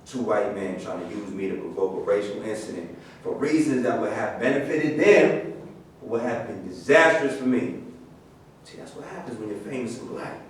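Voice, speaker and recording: A man's voice: on the low side, lively intonation, normal speed, natural voice. A man acting a wary, cynical famous athlete with a grumbling, opinionated manner in a staged play reading. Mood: bitter, wary. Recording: very echoey room, distant mic, faint noise.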